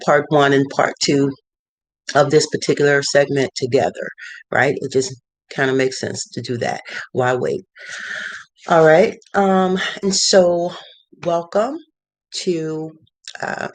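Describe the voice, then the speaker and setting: Middle-aged art consultant, mid pitch, loose rambling delivery, dead-dry room. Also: no echo. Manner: rambling, many fillers and restarts. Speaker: visual artist and art consultant. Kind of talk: casual talking-head advice. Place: cluttered home studio.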